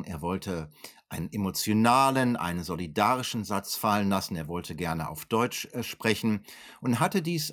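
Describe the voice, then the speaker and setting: A man with a fairly low voice answers as an expert guest in an interview. He explains in an articulate, educated way, unscripted, often hesitating and rephrasing as he goes. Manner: articulate, educated phrasing with frequent hesitations and self-corrections. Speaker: historian being interviewed as an expert.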